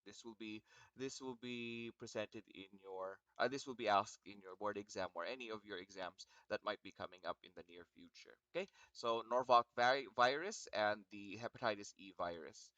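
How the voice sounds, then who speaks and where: Male lecturer, Filipino accent, bright and clear with slight morning froggy edge, informal, encouraging, repeats himself. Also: lowish voice, moderate pace, dry recording, lo-fi sound. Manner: encouraging, repeats himself, asks students questions. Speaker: virology lecturer. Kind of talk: informal online lecture to students.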